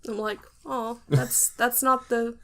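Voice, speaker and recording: A woman's voice: a little high, fairly even intonation, takes it slowly, bright, forceful tone. A woman co-hosting a podcast in casual chat, with an informal, playful way of chiming in. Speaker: podcast co-host.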